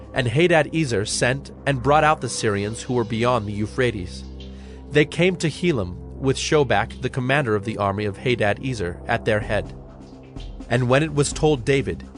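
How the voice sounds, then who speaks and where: Formal male scripture narrator, music behind. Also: medium pitch.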